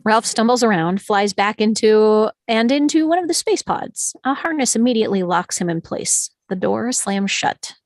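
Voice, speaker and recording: A woman narrates an animated film script, reading the stage directions aloud. Her voice sits at a medium pitch and sounds dry, with no room around it. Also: normal speed, hardly any pauses, no room sound.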